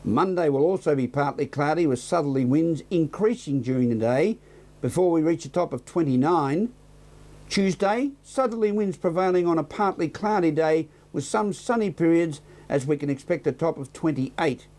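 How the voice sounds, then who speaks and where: A man's voice: on the high side, moderate pace, darkish tone. A man with an Australian accent presenting local television news and sport, reading bulletins and putting questions to guests.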